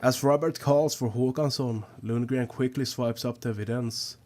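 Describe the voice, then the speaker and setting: Flat-voiced man narrating. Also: mid-range voice, normal speed, background noise. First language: Swedish. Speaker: YouTube film analyst. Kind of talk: scripted narration. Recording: voice-over with guitar music behind.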